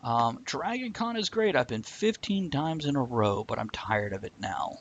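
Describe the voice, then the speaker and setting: A man's voice: mid-range voice, lively intonation, moderate pace, muffled recording. A man hosting a live show in a casual, blunt, opinionated way, chatting back to viewers and swearing freely. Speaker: host of a live talk show. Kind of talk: casual chat, answering live viewer comments.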